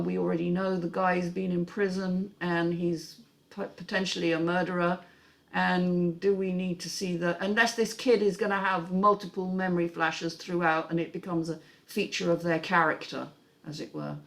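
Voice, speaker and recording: A woman hosting a panel and giving measured, candid feedback on a script. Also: lowish voice, vocal fry. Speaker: host and script critic.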